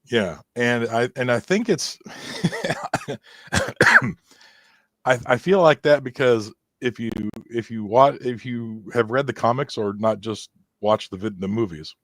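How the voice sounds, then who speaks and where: A man's voice: medium pitch, takes it slowly, dry recording, studio quality. A man talking as a guest in a casual interview, rambling through stories and laughing often at himself. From Irish heritage. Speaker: guest musician.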